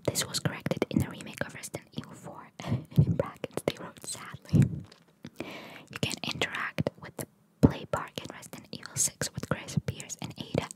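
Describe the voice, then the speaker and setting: Whispering woman, chatty ASMR reading. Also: rough recording. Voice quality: breathy, unvoiced whisper. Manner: informal, chatty, reacting to what is read. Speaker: ASMR host reading video game trivia.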